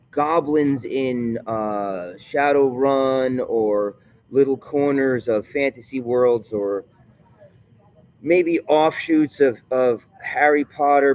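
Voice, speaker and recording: A man talking in a casual vlog monologue, rambling and digressive, often halting mid-thought. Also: mid-range voice, darkish tone, dry recording, dull audio. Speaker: vlogger.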